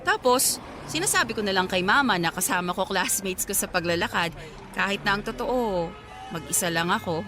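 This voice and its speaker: An actress playing a shy, sweet young provincial office worker in a radio drama, expressive and girlish. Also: mid-range voice, normal speed, music in the background, lightly acted. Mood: open, wistful. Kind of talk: Tagalog radio drama acting.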